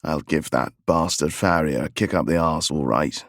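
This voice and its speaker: A man voicing a tall, gruff gentleman hunter with an English accent. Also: very deep voice, normal speed, no echo, studio quality, pitched below the performer's own voice. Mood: gruff offhand. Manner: gruff, offhand.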